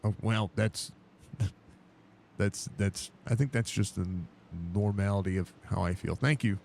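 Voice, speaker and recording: A man with a stuffed-up, gravelly low voice calls a live online race, rambling with fillers and getting excited at every crash. There is a faint hiss of noise behind him as he talks into his home setup. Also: a little slow. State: stuffy, congested. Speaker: sim racing broadcaster.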